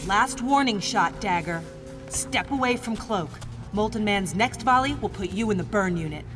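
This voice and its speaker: An actress playing a menacing, authoritative enforcer in a video game. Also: female voice, mid-range voice, expressive, moderate pace, music in the background, close to an everyday voice. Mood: threatening. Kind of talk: game cutscene acting. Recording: distant, long reverb.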